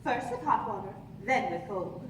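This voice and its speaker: An actress playing an admiring, confiding wedding guest in a 1940s radio soap commercial. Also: female voice, medium pitch, lively intonation, moderate pace, lightly acted. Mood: admiring, knowing. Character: gossipy female wedding guest praising beauty soap. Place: theatre stage, live performance. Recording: echoey stage, distant, slightly noisy.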